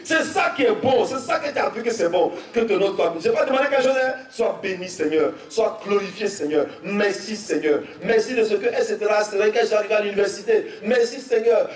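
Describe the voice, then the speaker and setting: A young man with an Ivorian French accent preaching a testimony sermon, telling his story and rising to shouts with repeated phrases. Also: high-pitched. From Côte d'Ivoire. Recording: echoey, from far off, faint music.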